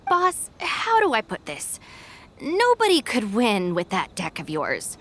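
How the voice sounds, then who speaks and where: Calm deadpan young deputy, kinda high voice, game character. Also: female voice, unhurried, heavily acted. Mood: dry. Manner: calm, dry, matter-of-fact. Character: level-headed young female gang second-in-command.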